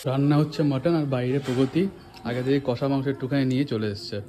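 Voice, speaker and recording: Over clear household noise, a man with a West Bengal Bengali accent talks in a mid-pitched voice. He chats casually as a vlogger and often repeats short phrases. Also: fairly even intonation, fast talker, mellow, roomy sound. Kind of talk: casual home vlog chat. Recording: clearly noisy handheld phone recording.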